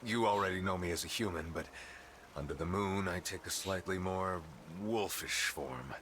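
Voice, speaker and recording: Deep, bright-edged courteous werewolf. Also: male voice, moderate pace, slight background noise. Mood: warm welcome. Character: friendly man who turns werewolf under the moon. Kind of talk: video game voice acting.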